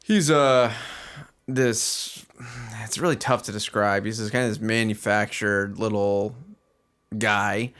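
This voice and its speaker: A man's voice: lowish voice, fairly bright tone, smooth. A man co-hosting a podcast in casual chat, talking in a jokey, colloquial way. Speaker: podcast co-host. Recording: music behind the voice.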